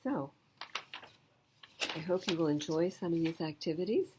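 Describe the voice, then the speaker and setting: A woman hosting a children's storytime, speaking in a measured, plain-spoken way as she narrates and chats. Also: lowish voice, expressive, noisy background. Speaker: library storytime reader.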